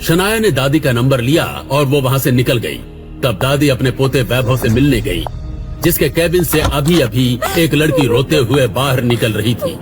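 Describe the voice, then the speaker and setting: A man's voice: medium pitch, animated, normal speed, music in the background. A man narrating a dramatic scripted audio story.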